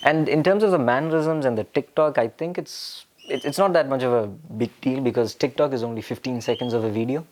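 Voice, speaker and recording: A young man from India answering interview questions casually, with many pauses and fillers. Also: medium pitch, a little mellow, slight background noise. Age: young adult. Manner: hesitant, with frequent fillers and restarts. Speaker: interview guest.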